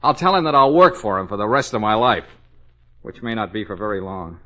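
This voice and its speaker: An actor playing a wisecracking, anxious private investigator in old radio comedy-drama style, wry, nervous and hesitant. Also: male voice, fairly low pitch, very animated, fairly quick, sharp tone. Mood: worried, wry. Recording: dull, band-limited old radio recording.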